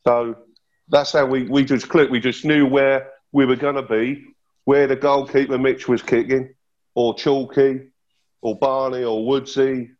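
Man, fifties, easygoing matey talker, medium pitch, muffled call audio. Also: flat delivery, measured pace, darkish tone. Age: 50s. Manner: matter-of-fact, matey, says "mate" a lot. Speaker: guest, former footballer. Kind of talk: casual reminiscing chat.

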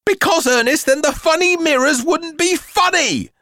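A man's voice: mid-range voice, wildly swooping pitch, slow, a bit bright, voice pushed up, heavily acted. A man voicing a jolly but long-suffering mother in a storybook, coaxing and effusive. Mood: exasperated, eager.

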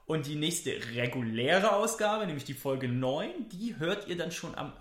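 A man's voice: a little high, animated, a little slow, fairly bright tone. Male podcast co-host, eager and rambling, recorded in a roomy, echoey space. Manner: enthusiastic, rambling with restarts. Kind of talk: casual chat.

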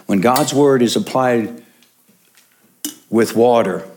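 A man's voice: mid-range voice, slow pace, a little mellow, a little hiss or hum. A male pastor preaching a sermon in church, stressing and repeating his main points for the congregation.

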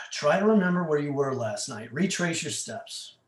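A man playing a suspicious, chiding husband in a play reading, speaking pointedly and deliberately. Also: on the high side, animated. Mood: questioning. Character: nagging, suspicious husband with quiet menace. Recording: echoey room.

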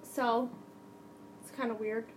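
A woman's voice: a little high, level tone, slow pace, a little hiss or hum, poor audio. A young adult woman hosting a podcast, telling a true crime story in a casual, conversational way.

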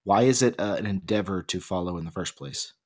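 A man's voice: low voice, a little fast. A man co-hosting a casual podcast discussion, arguing and explaining his points, his voice a bit off from being sick. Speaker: podcast co-host.

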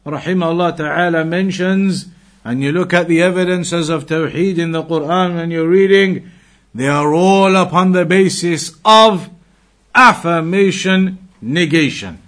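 A man with a high-pitched voice delivers a religious lesson as an Islamic teacher. He is didactic and emphatic, returning to key phrases to drive them home. He moves between explanation and recited quotations. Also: measured pace, a bit bright.

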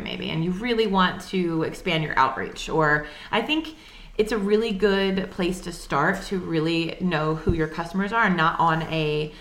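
A woman with a fairly low voice gives upbeat, encouraging advice in a casual panel discussion. Her voice carries an echoey, roomy sound. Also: a bit bright.

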